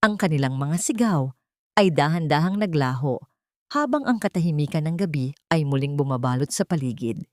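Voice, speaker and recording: A woman narrating a scripted audio drama in a steady, dramatic storytelling manner. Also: low-pitched, normal speed, no echo, clean studio sound.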